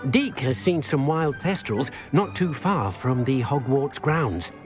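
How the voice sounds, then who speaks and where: A man's voice: mid-range voice, animated, slow, music in the background. An actor voicing an elderly, courteous male house-elf devoted to creatures in a video game, speaking earnestly and a little hesitantly. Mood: pleased, helpful. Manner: refers to himself in third person, hesitant. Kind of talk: video game character dialogue.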